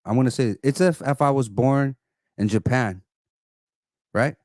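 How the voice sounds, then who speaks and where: A man's voice: mid-range voice, unhurried, darkish tone, no echo. Insistent Californian man, dry close sound. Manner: earnest and insistent, repeats phrases and talks over the other man. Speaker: debater on a live stream. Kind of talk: heated argument. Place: indoors, an apartment.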